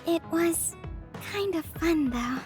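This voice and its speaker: A woman's voice: very high voice, expressive, slow, heavily acted. A woman playing a cheerful, earnest young fire-wielding teammate in an anime game dub. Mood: bright, excited. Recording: background music under the voice.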